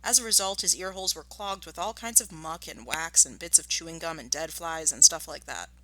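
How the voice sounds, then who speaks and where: A woman teacher giving a storybook read-aloud lesson, explaining and narrating in an expressive manner. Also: deep voice, a little fast. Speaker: primary school teacher.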